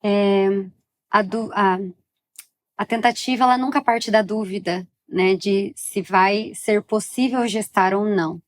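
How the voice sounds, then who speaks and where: Calm woman psychologist explaining. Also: medium pitch, a little slow. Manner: calm, explanatory, affirming with frequent "isso". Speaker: perinatal psychologist guest. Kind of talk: interview answers.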